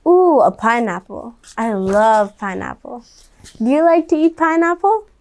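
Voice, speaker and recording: High-voiced, unhurried woman reading to children. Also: big pitch swings, darkish tone. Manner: conversational, addresses child listeners directly. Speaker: storybook reader. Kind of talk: children's read-aloud with asides to young listeners.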